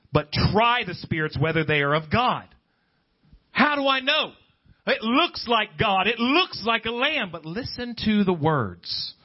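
A man's voice: high-pitched, husky. A man preaching a conversational, off-the-cuff sermon, emphatic and full of rhetorical questions and quotations. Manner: emphatic, rhetorical questions, quotes scripture and imagined objectors. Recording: dull, lo-fi sermon recording.

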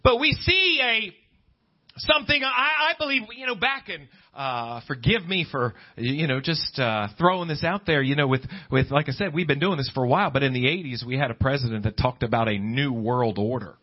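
A middle-aged American man preaching as a pastor, in emphatic, deliberate phrases with pauses. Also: medium pitch. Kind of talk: preaching a sermon to a congregation, reading scripture. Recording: dull, lo-fi sermon recording.

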